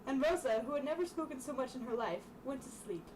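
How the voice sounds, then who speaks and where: Noisy far-off mic, young woman w a highish voice reading a storybook, casual, trips over words. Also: lively intonation, fast talker. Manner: informal, stumbles over the text. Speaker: storytime reader. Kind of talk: reading a children's book aloud with casual asides. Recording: distant mic, clearly noisy room sound.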